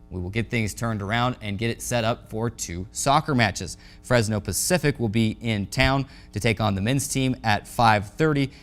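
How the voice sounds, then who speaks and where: A man hosting a college sports show, delivering a scripted sportscast in an announcer's style. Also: lowish voice.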